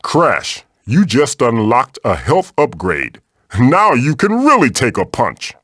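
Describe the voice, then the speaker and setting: Casting: wise old magical mask mentor for a video game, theatrical and wry, very expressive pitch, dry close sound. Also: male voice, takes it slowly, breathy edge, dry recording, exaggerated voice. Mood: instructive, encouraging. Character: wise, wisecracking ancient magical mask guardian, male. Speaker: game guide character giving tutorials. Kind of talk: animated video game voice acting.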